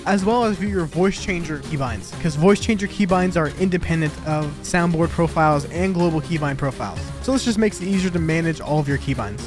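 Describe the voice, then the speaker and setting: Fast, high-voiced young man. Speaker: software developer presenting own app update. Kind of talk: casual scripted video walkthrough. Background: background music.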